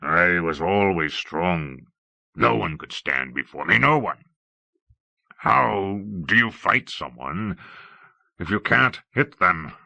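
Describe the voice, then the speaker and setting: A man voicing a bitter, weary, hot-tempered king in an audiobook, speaking bluntly and forcefully in drawn-out phrases. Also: sits low, expressive, gravelly, no room sound, exaggerated voice. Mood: sad, regretful. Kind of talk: audiobook character acting.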